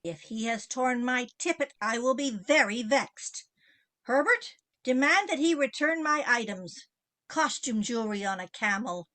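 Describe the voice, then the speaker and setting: A woman's voice: on the high side, expressive, unhurried, pushed and bright. A woman playing an imperious, easily vexed old mother in a comic radio play, with a haughty, formal, theatrical manner. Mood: haughty vexation.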